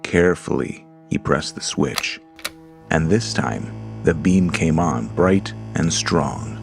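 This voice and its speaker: A man narrating a horror story in a slow, deliberate storytelling manner. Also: very deep voice, expressive, gravelly. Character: Lars, a frightened man alone in a dark house. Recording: music under the voice.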